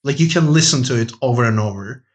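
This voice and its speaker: A man's voice: medium pitch, expressive, fast talker. A man talking casually and enthusiastically as a panelist on a podcast. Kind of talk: casual chat.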